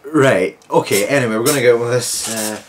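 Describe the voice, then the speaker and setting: A man's voice: medium pitch, a little fast, slight background noise. A man hosting his own channel, introducing a video in a rambling, joking way with many restarts. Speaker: channel host.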